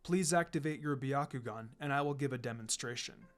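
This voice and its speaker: A man voicing a calm, firm, encouraging adult ninja teacher with a measured, instructive delivery in a story reading. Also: medium pitch, voice pushed down, close to an everyday voice. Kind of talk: fan fiction reading with character voices. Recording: music behind the voice.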